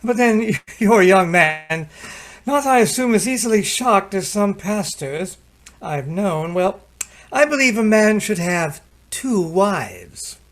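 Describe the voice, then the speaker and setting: Man as high-voiced pompous Edwardian husband. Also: expressive, slow pace, a bit bright, exaggerated voice. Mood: amused, teasing. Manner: pompous, genteel, teasing. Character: jovial, opinionated Edwardian husband, patronising and provocative. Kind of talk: old-time radio drama acting.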